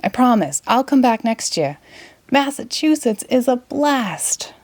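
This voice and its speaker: A woman's voice: on the high side, sing-song, unhurried, darkish tone, no echo, pitched above the performer's own voice. A woman with a Boston accent voicing a timid, earnest little male alien in a children's storybook.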